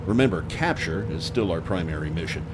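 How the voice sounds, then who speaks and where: An actor playing a stern tactical commander giving crisp orders in an audio drama. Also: male voice, low-pitched, lively intonation, brisk. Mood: stern, commanding. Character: authoritative male commander of a tactical team. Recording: noisy outdoor car and traffic ambience.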